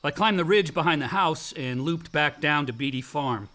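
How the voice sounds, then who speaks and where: Higher-voiced, sardonic young son. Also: male voice, moderate pace, bright, forceful tone. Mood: teasing. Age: young adult. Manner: casual, slangy, profane. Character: young adult son, outdoorsy, sardonic, easily exasperated. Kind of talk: naturalistic radio play acting.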